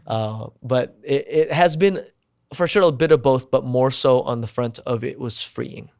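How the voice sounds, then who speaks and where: A man whose first language is Indonesian, an actor chatting as a guest, animated and acting out quoted remarks with lots of "like" fillers. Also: medium pitch, normal speed, gravelly, no room sound, studio quality. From United States. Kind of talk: casual interview chat.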